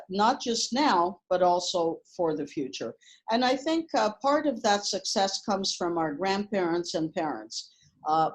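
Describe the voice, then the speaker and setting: A woman school principal, from Portugal, answers interview questions in an earnest, steady way. Her voice sits at an ordinary pitch, and her talk is dotted with frequent ums and uhs. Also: low-quality recording.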